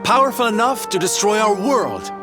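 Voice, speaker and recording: An actor playing a flamboyant, warm-hearted male troupe leader in a video game cutscene, with camp, affectionate delivery. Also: high-pitched, lively intonation, moderate pace. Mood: probing, wistful. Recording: music behind the voice.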